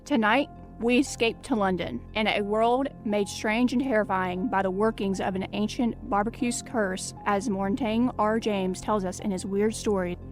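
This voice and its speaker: A woman's voice: medium pitch, steady tone, normal speed, soft background music. A woman narrating an old-time radio suspense introduction in a dramatic, ominous manner.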